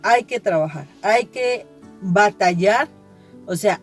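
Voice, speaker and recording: A woman giving a conversational religious reflection, explaining earnestly and restating her points as she goes. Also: on the low side. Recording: soft guitar music behind the voice. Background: guitar music.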